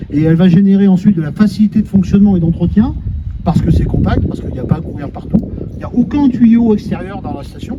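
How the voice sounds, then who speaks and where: A man giving an informal, colloquial explanatory talk to visitors on site, simplifying technical points as he goes. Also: high-pitched. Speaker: company executive presenting a water treatment plant. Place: on site at a treatment plant. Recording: dull sound, heavy ambient noise.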